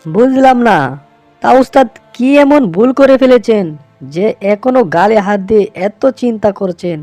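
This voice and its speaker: A woman's voice: fairly high pitch, normal speed, mellow, no room sound, faint music behind, natural voice. A woman voicing an earnest young male fox follower in a cartoon. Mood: puzzled. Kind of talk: cartoon voice acting.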